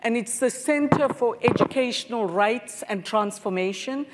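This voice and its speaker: Woman, 60s, earnest panelist, higher voice, long hall reverb. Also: normal speed. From South Africa. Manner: earnest, speaks with conviction. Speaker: panelist, professor. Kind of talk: conference panel answer. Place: large conference hall stage.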